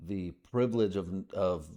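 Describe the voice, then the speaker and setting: Low-voiced, slangy podcast host. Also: male voice, moderate pace, warm tone. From United States. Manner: slangy, profane, rambling with many fillers. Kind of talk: casual rambling podcast monologue.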